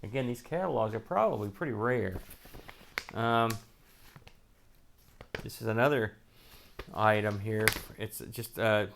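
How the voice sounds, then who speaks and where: A man's voice: lowish voice, measured pace, creaky, long pauses, noisy background. An American man hosting a casual unboxing, rambling and thinking aloud as he handles each item. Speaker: host of a retro computing show.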